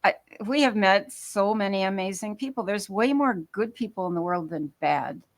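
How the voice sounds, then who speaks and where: An older woman from Minnesota speaking as a guest author, earnest and halting, often restarting her sentences. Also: mid-range voice, lively intonation. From Minnesota, United States. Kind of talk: interview talk.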